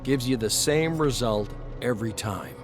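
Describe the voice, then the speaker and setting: A man voicing an earnest, deferential young inventor with a halting manner in a game story. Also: medium pitch, natural voice. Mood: thoughtful. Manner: hesitant, halting. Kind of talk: dramatized game lore narration. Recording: music under the voice.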